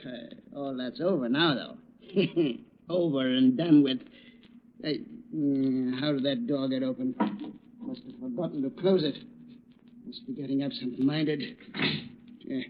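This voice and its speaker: An actor playing a resentful, jittery murderous chemist in melodramatic old radio style, muttering in agitation. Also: male voice, a little high. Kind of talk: 1930s radio drama acting. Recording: dull old radio recording.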